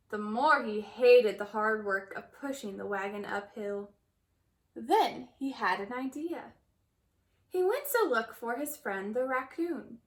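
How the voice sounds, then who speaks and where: A woman reading a children's story aloud in an expressive storytelling manner. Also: on the high side. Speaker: storybook reader.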